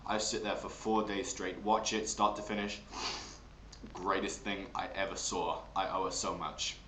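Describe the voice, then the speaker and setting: Man as a gossipy Long Island lady, drawn-out vowels. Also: sits low, little pitch movement, bright, forceful tone. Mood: gossipy, delighted. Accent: Long Island New York. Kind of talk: comic character monologue. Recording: roomy, noisy home recording.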